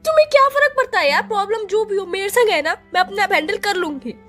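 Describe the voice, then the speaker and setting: A woman's voice: extremely high pitch, quick pace, fairly bright tone, soft background music. A young woman acting a sulky, stubborn girlfriend in a phone-call skit, tearful and snappy. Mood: angry, tearful. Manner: petulant, snappy, curt refusals. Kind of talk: acted romantic phone-call skit in Hindi.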